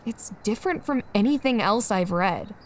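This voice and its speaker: A woman plays a teenage girl who sounds nonchalant and blunt. Her pitch is average for a woman, and this amateur comic dub has clear noise behind the voice. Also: expressive, moderate pace, lightly acted. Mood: nonchalant, curious.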